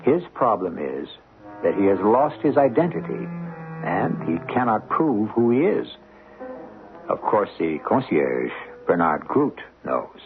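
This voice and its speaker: A man narrates an old radio mystery as its host. His voice is low and moves dramatically in pitch, with ironic, measured phrasing. Music plays clearly behind him on a tinny recording. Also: big pitch swings.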